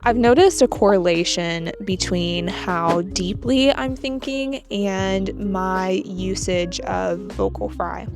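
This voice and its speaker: American woman with light vocal fry. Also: mid-range voice, over music. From United States. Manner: measured, explanatory. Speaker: channel host. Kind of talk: scripted talk to camera.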